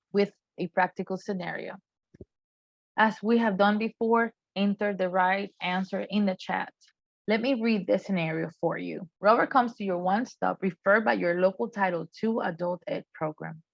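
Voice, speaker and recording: A woman whose first language is not English, speaking English with an accent and explaining program rules in a deliberate training presentation. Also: mid-range voice, gravelly, dry recording, highs cut off. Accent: non-native English. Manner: deliberate, explanatory, stresses key terms.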